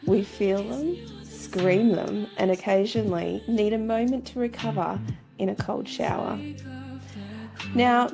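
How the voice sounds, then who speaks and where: A woman presents a book club show with playful, theatrical delivery. Her pitch sits mid-range, and music runs beneath her voice. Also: a little slow, creaky. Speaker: book club podcast host. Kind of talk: scripted, playful show introduction and chat.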